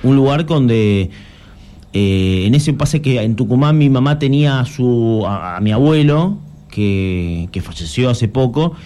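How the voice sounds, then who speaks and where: A man's voice: medium pitch, expressive, a little slow, mellow. A young adult man with an Argentine Spanish accent, a journalist guest explaining things earnestly with frequent restarts. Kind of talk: conversational interview.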